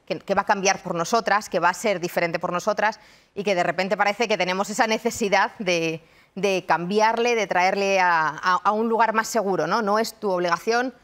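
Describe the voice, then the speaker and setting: A woman from Madrid, a university researcher and lecturer in her thirties, giving measured, reflective answers in a TV studio interview. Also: mid-range voice, fairly even intonation, a bit bright. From Madrid, Spain. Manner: thoughtful, measured, frequent restarts. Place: television studio.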